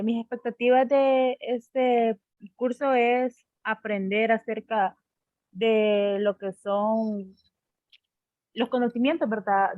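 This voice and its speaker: A young woman speaks slowly and hesitantly, searching for words. Her voice is higher and flat in pitch. The audio is tinny and phone-like, dry and close. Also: dry recording. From El Salvador. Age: 20s. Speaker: workshop participant. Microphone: video call.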